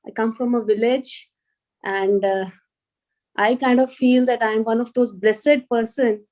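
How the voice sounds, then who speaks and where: A middle-aged Indian woman speaks to students as a guest. Her voice is soft-edged and mellow, sitting a little high. She talks in a hesitant, reflective way, doubling back on her words. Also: steady tone, a little slow. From rural Punjab, India. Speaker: guest speaker, police officer and poet. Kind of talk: informal address to an online student session.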